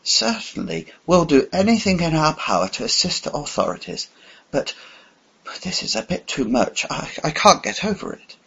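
A man voicing a bewildered, polite elderly English gentleman who hesitates and stammers, his voice climbing high. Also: sing-song, moderate pace, vocal fry, slightly rough, lo-fi sound, heavily acted. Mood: flustered.

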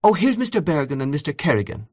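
A man voicing a polite, brisk young hostess who coaxes guests in an audiobook story. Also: on the high side, big pitch swings, darkish tone, dry recording, clean studio sound, voice pushed up. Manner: polite, coaxing. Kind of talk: audiobook character voice.

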